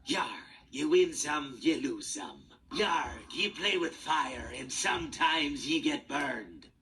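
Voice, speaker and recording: An actor playing a jovial pirate fox animatronic in a game, with a hearty stage pirate accent. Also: male voice, fairly high pitch, animated, slow, sharp tone, a little hiss or hum, heavily acted. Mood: slyly jovial.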